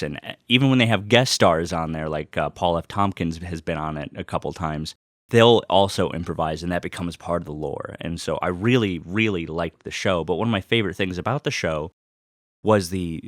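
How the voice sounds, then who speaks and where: A man's voice: low-pitched, normal speed, dry recording, clean studio sound. A man hosting a personal vlog in casual, unscripted talk, rambling and often cutting himself off. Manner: rambling, self-interrupting. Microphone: close personal microphone.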